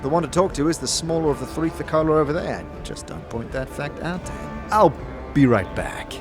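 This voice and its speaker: A man's voice: on the high side, expressive, fairly quick, natural voice. A man voicing a chatty, shrewd but friendly tavern barkeep in a fantasy audio drama, with breezy slangy patter. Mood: shrewd, bargaining. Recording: music under the voice.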